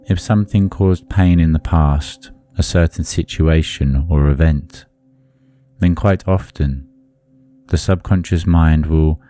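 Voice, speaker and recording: A man leading a guided meditation in a soothing, deliberate manner, leaving long pauses between phrases. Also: a bass voice, warm tone.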